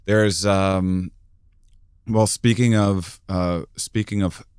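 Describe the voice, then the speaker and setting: A man hosts a podcast interview in a deep, smooth, resonant voice. The sound is dry and close, and he rambles with plenty of fillers. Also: fairly even intonation, slow pace, a little mellow, no room sound. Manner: rambling, restarts with many fillers. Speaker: podcast host. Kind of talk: casual interview conversation.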